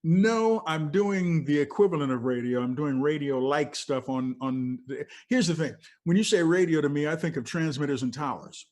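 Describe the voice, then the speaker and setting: A man's voice: a little high, moderate pace, few pauses, a little mellow. An older American man who worked as a radio DJ, telling stories casually in an emphatic, explanatory way that often wanders off. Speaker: veteran radio DJ, interview guest. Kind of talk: casual conversational storytelling.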